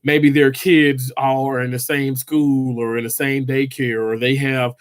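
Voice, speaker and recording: A man's voice: mid-range voice, level tone, measured pace, smooth. A man, chatting casually with viewers on a livestream, rambling with lots of fillers and restarts. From Mississippi, US. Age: 30s. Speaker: livestream host.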